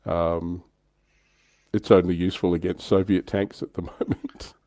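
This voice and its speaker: Deep-voiced man chatting casually. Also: little pitch movement, a little slow, dark tone, no room sound. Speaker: livestream host. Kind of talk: casual hobby discussion.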